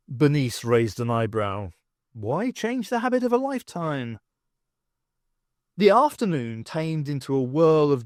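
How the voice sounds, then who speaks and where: A male audiobook narrator with an animated, lilting reading voice that sits a little high. The delivery is expressive and storytelling throughout. The recording is dry and close to the mic. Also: no echo. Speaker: audiobook reader.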